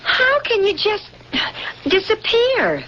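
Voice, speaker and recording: A woman's voice: extremely high pitch, wildly swooping pitch, slow pace, pushed and bright, slight background noise, exaggerated voice. An actress playing a woman who soothes and coaxes her partner in a 1970s radio drama. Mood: coaxing. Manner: soothing, wheedling. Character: adult woman, the pilot's coaxing partner. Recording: dull 1970s radio broadcast.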